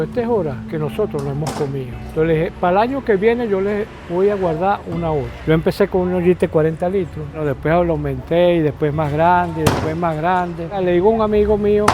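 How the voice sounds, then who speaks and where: A man's voice: on the high side, background noise. An older man with a Venezuelan accent from Lara, a local cook casually telling stories in an interview. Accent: Venezuelan, Lara state.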